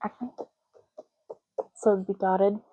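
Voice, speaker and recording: A soft-spoken woman doing an ASMR roleplay as a clerk, explaining gently and unhurriedly in short, broken phrases. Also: mid-range voice, flat delivery, takes it slowly, dry recording, rough recording. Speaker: ASMR creator playing an ID card clerk.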